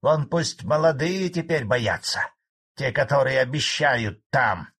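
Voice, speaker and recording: A man voicing a spiteful old male collective farmer who rants gruffly and defiantly. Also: medium pitch, animated, unhurried, a bit bright, voice pushed up, full character voice. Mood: angry.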